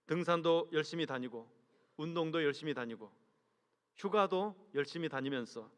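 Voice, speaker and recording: High-voiced man preaching in a reverberant church. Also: fairly bright tone. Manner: earnest, asks the congregation rhetorical questions; measured delivery, trails off low at sentence ends. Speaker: senior pastor. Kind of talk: sermon. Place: large church sanctuary. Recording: long reverb from a church hall.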